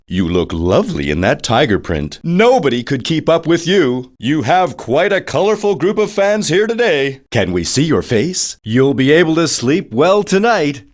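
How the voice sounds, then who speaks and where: A man playing an excitable TV reporter in a video game, putting interview questions to race winners in a showy announcer manner. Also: a little high.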